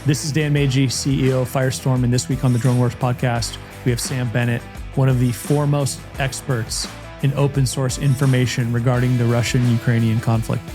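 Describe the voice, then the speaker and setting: A man hosting a podcast in a relaxed, rambling style, with loose, friendly phrasing. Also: mid-range voice, fairly quick, over music. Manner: relaxed, rambling, many fillers and restarts. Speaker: podcast host.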